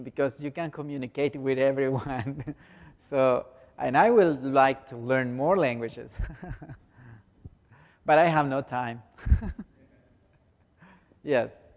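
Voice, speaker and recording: A Salvadoran pastor, a man who grew up speaking Spanish, talking in halting English with a Spanish accent and many restarts. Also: medium pitch, in a large hall. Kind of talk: speaking to a congregation in non-native English.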